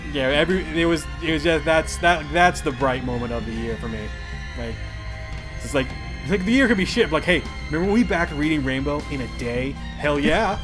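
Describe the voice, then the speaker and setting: A man's voice: fairly high pitch, animated, a little fast, over music. A man co-hosting a casual podcast, trading upbeat, joking banter. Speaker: podcast co-host.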